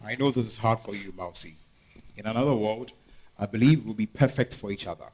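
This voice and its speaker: A man with a Ghanaian accent playing a smitten, pleading lover with halting, earnest phrasing in a stage reading. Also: fairly low pitch, darkish tone, natural voice, low-quality recording. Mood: tender, regretful. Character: smitten, pleading male lover and deal-maker. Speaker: stage actor. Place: live staged reading at a festival.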